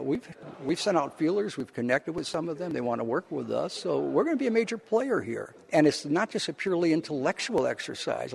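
A male college professor giving informal interview answers, explaining things in a halting, rambling way. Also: medium pitch, gravelly, darkish tone.

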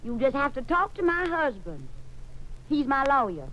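A woman's voice: sits high, big pitch swings, moderate pace, fairly bright tone. A woman playing a wild, screwy, stubborn young schemer in a 1950s radio drama. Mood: defiant. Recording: dull, noisy old-time radio recording.

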